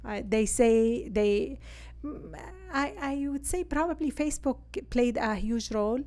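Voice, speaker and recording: Casting: woman, native Arabic speaker with Arabic accent, slightly high pitch, earnest halting delivery, podcast guest in conversation. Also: a little mellow. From Syria. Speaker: interview guest, real estate agent.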